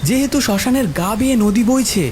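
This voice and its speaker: A man's voice: very high-pitched, brisk, darkish tone, slight background noise. A man narrating a scripted horror story in the first person.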